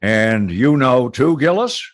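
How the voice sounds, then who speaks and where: An actor playing a well-mannered, genial company boss in a radio comedy, hesitant and sputtering but firm. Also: male voice, medium pitch, big pitch swings, slow pace, no echo, clean studio sound. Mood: pleased. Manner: hesitant, sputtering, formal politeness. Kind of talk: old-time radio comedy acting.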